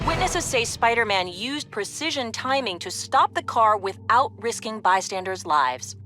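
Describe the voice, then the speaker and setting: Higher-pitched news reporter. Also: female voice, lively intonation, a little slow. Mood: matter-of-fact. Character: brisk female TV news reporter. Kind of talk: news report in a video game. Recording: music behind.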